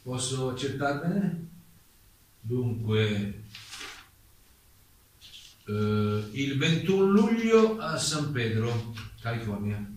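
Measured exiled playwright, very echoey, distant. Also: male voice, medium pitch, very animated, a little mellow. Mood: formal, insistent. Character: exiled German poet-playwright, polite but insistent witness at a hearing. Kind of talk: staged dramatic reading of testimony. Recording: distant mic, very echoey room, faint noise.

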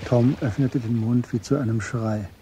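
A man narrating a literary crime radio drama in a measured, grave manner, with a husky, breathy voice. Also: medium pitch, steady tone, noisy background.

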